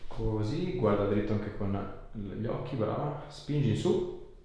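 A man's voice: fairly low pitch, a little slow, dark tone. A man in his thirties working as a chiropractor, giving short, reassuring instructions to a patient during a hands-on exam. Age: 30s. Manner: reassuring, encouraging. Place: small clinic treatment room. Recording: very echoey small room, faint noise, clicks.

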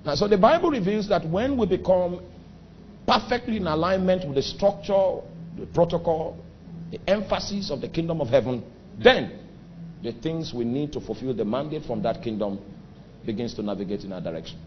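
A man with a Nigerian accent preaches in a middle-pitched voice that leaps up and drops low as he stresses his points, stretching out words for effect. The recording is dull and lo-fi, with a faint hiss underneath. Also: expressive. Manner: emphatic preaching with sudden pitch and volume swings, drawn-out phrases. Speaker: pastor. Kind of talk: sermon.

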